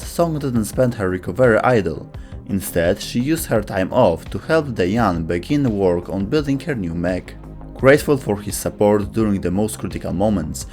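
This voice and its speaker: A man reading scripted narration as a video host. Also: lowish voice.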